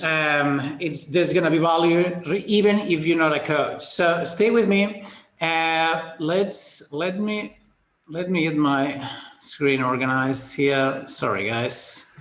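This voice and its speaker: A man's voice: on the high side, takes it slowly, fairly bright tone. A man from Spain with a Spanish accent hosts a live webinar, chatting upbeat, greeting attendees by name and stumbling through restarts and fillers. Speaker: webinar host. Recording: roomy webinar audio.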